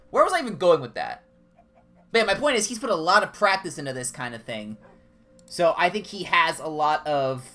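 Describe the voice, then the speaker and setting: A man with a higher-pitched voice, chatting casually and discursively as a game streamer. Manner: animated, discursive, jumping between tangents. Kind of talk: casual rambling livestream chat during gameplay.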